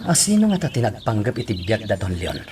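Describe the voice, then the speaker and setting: An actor playing a dutiful, authoritative police chief in an Ilocano radio drama. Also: male voice, fairly low pitch, huge pitch swings, fast, darkish tone, a little hiss or hum. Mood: businesslike.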